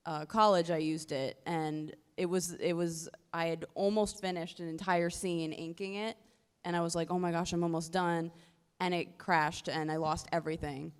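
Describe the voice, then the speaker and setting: A woman's voice: on the low side, little pitch movement, moderate pace, clean tone, big-room sound. A woman in a casual chat, hesitant and rambling.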